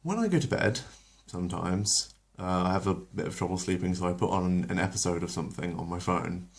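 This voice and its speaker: A man's voice: low-pitched, a little fast, gravelly. A man hosting his channel, chatting casually with fillers and self-corrections, then reading a story aloud. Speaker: channel host and story reader.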